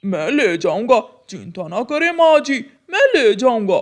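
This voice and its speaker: A woman puts on a sly, greedy male crow from a cartoon. The voice is mid-pitched and swings widely up and down, boastful and overeager in its delivery. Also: sing-song, a little slow. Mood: eager.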